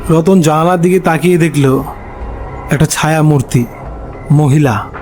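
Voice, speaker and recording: A man narrating a scripted horror story in a dramatic, suspenseful way, pausing between short phrases. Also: a little high, darkish tone. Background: background music.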